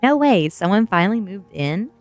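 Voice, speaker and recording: A woman's voice: medium pitch, big pitch swings, moderate pace, soft background music, natural voice. A woman voicing a rebellious, sardonic sixteen-year-old goth girl in an audiobook, dry and defiant. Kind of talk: audiobook character voice.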